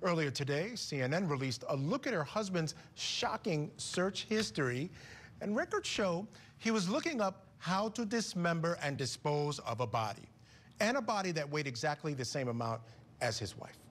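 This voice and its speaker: A middle-aged man hosting a TV comment segment in a studio, talking with an animated, conversational manner on a lapel mic. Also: on the high side, moderate pace. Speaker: TV news host.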